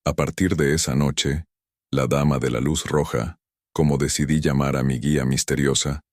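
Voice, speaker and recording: A man reads spooky first-person tales in an extremely deep voice. He keeps a steady, measured pace throughout. The sound is dry and close, with no room around it. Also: dry recording. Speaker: narrator. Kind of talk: scripted first-person horror narration.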